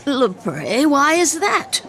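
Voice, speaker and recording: An actress playing a witty, boozy woman poet with theatrical bravado in a radio play, in a wry, declamatory, halting tipsy manner. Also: sits high, big pitch swings, creaky, a bit bright, some chatter behind, a little hiss or hum, exaggerated voice. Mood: amused, gossipy. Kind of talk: radio theatre acting in verse.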